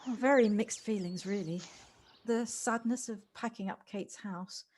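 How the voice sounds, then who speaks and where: A woman's voice: mid-range voice, measured pace, darkish tone, lightly acted. A woman acting a kindly, hesitant city visitor in an audio play, politely stumbling over her first words. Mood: reflective. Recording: amateur home recording with background noise.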